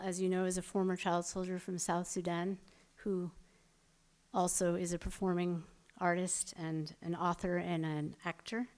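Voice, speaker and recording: A woman's voice: fairly low pitch, clean tone. A woman moderating a live event, introducing the speakers in an upbeat, conversational way. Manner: upbeat, conversational, some fillers.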